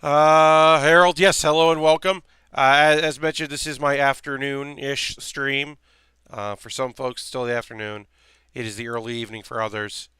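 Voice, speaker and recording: Guy streaming from his desk, bright crisp voice a bit worn out, rambly with lots of ums, dry close sound. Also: medium pitch, flat delivery, normal speed, no echo. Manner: rambling, chatty, many fillers and restarts. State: slightly losing his voice. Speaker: streamer building model kits. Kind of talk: casual live-stream chat while building.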